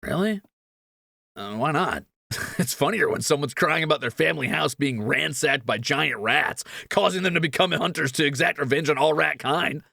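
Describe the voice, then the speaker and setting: A man's voice: a little high, animated, normal speed, vocal fry, pushed and bright, no echo, clean studio sound, exaggerated voice. A man playing a greedy, cheeky young thief in a fantasy audio roleplay, teasing and flippant. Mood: playful.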